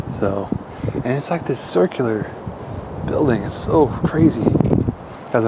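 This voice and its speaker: Chatty young man exploring outdoors. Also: fairly low pitch. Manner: casual, chatty, addresses viewers as guys. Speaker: urban explorer host. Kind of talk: walking tour vlog, casual. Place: outdoors and inside an abandoned mansion. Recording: noisy outdoor camera audio.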